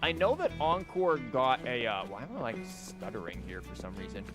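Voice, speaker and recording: A man's voice: medium pitch, big pitch swings, moderate pace. A man livestreaming a game, chatting casually with joking, rambling asides and reading dialogue aloud. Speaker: game streamer. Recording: music behind the voice. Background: game music.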